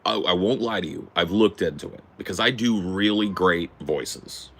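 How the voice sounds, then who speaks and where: A man with a deep, distinctive voice talking in a casual, rambling way, opinionated and emphatic, swearing freely. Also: normal speed, a bit bright, a little hiss or hum. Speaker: host.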